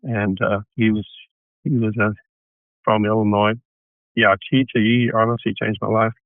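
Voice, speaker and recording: A man's voice: fairly low pitch, flat delivery, unhurried, gravelly, dry recording. A man from South Africa, a tennis coach guest on a podcast, telling long stories about his start in the sport with restarts and asides. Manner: rambling storyteller with restarts. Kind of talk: casual interview answers. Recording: tinny phone-like line, dry.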